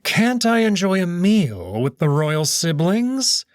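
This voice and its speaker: A man voicing a smug, menacing blind male wolf noble who speaks slowly with mocking politeness. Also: high-pitched, very animated, dry recording, studio quality, voice pushed up, full character voice. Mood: mockingly polite. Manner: slow, drawn-out, mockingly polite.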